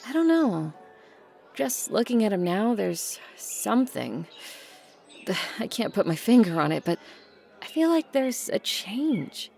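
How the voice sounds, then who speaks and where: A woman's voice: mid-range voice, very animated, measured pace, gravelly, darkish tone, soft background music. A woman playing a guarded, blunt adult daughter of a wealthy family in an audio drama, with a dry, curt way of talking. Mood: wry, uneasy. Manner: dry, curt, bossy with siblings.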